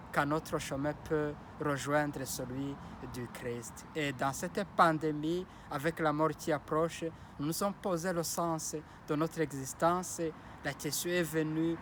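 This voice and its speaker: Priest, male, Kinyarwanda or Kirundi as first language. Solemn homily delivery at a very slow pace, slightly high voice. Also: a little hiss or hum. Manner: slow, solemn, repeats blessing phrases. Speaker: parish priest. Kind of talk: Sunday homily.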